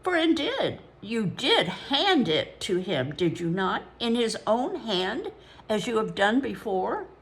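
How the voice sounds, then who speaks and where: A woman's voice: fairly high pitch, slow, heavily acted, low-quality recording. A woman voicing a servile, grinning male porter with a Babylonian accent and a reedy, sibilant voice. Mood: wheedling. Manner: oily, obsequious flattery.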